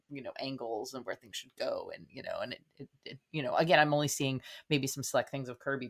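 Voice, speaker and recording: A woman speaking as a guest in a casual interview, rambling earnestly as she thinks aloud. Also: a bass voice. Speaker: podcast guest, playwright.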